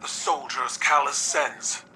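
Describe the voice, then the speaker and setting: An actor playing a proud, grave veteran warrior ruler in a video game, speaking formally and deliberately. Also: male voice, medium pitch, steady tone, sharp tone, far from the mic, a little hiss or hum, rough recording. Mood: grave, troubled. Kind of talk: dramatic video game acting.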